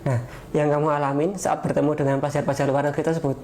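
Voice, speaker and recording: A man's voice: a little high, little pitch movement, racing, darkish tone. A man with an Indonesian accent hosting a podcast, asking casual questions informally and rephrasing them as he goes. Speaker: podcast host. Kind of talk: casual interviewing. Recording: background noise.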